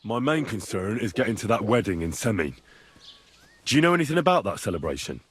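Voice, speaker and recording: A man's voice: lowish voice, very animated, quick pace, slightly rough. A man playing a down-on-his-luck young medieval squire in a video game, curious and dryly sardonic, with an informal, questioning manner. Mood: curious, cautious. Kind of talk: video game dialogue acting. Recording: background music under the dialogue.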